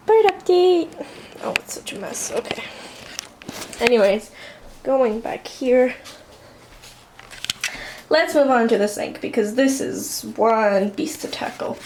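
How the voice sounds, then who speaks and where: A woman's voice: fairly high pitch, a little mellow, a little hiss or hum, low-quality recording. A teenage girl with a slight Armenian Russian accent, chatting casually with lots of fillers while showing viewers around her home. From southern California. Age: teens. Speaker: vlogger. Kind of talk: casual plant tour vlog. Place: apartment room and bathroom, indoors.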